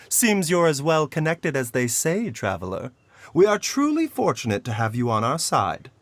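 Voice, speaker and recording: A man voicing a calm, polite and formal male pharmacist in a video game. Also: mid-range voice, unhurried, slight background noise.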